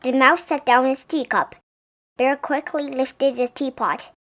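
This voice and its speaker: A woman's voice: high voice, unhurried, fairly bright tone. A woman reading a picture book aloud expressively for young children. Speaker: storybook reader.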